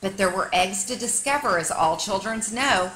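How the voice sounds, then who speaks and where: A woman reading a children's picture book aloud like a teacher, with expressive, dramatic storytelling for young listeners. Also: medium pitch, moderate pace, a little hiss or hum, rough recording.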